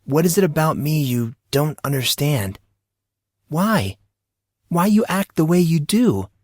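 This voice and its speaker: A flustered teenage boy in an audiobook, played by a man, defensive and incredulous. His pitch rides up, in a dry recording. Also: expressive, measured pace, no echo. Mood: exasperated. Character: flustered, defensive fourteen-year-old boy.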